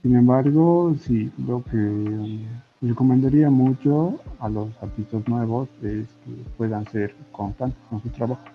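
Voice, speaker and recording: A man's voice: mid-range voice, unhurried. A Bolivian man speaking Spanish as an interview guest, thoughtful and hesitant, often checking in with short tag questions. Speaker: guest, comic artist and illustrator. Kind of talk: casual interview conversation. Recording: muffled lo-fi audio with faint music. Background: faint background music.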